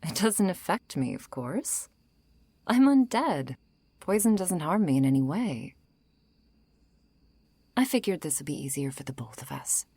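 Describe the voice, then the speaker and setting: A woman playing a seductive, menacing undead vampire in an intimate ASMR roleplay, coaxing and softly threatening, often slipping into whispers. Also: very animated, unhurried, pauses often, no room sound, full character voice. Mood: smug, composed. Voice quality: low, breathy, creaky.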